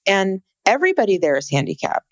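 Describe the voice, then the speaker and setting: A woman's voice: medium pitch, wildly swooping pitch, quick pace, no room sound, highs cut off. A woman co-hosting a podcast, explaining background in a storytelling way with frequent "you know" fillers. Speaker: podcast co-host. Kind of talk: casual podcast chat.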